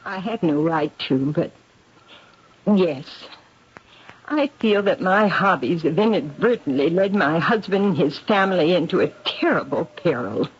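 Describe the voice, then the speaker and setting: A woman's voice: lowish voice, lively intonation, unhurried, breathy edge, full character voice. An actress playing an anxious, guilt-ridden aristocratic English lady in a 1970s radio drama, speaking in an earnest, refined, confessional way. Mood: guilt-ridden, pleading. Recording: dull vintage radio broadcast.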